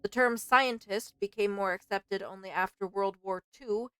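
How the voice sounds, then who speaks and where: A woman's voice: mid-range voice, normal speed, a bit bright, clear, resonant tone, dry recording. A woman streamer doing let's play commentary and reading game text aloud.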